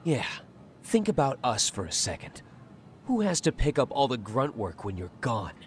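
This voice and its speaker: A woman voicing a surly, aggressive high school bully in a video game dub, hostile and scornful. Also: sing-song, normal speed, a little hiss or hum.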